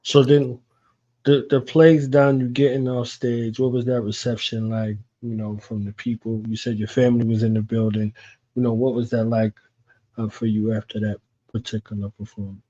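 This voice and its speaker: A man's voice: medium pitch, flat delivery, normal speed, warm tone. A man hosting a radio show, asking questions in a casual, conversational way. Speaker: radio show host, interviewer. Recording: dull, lo-fi sound.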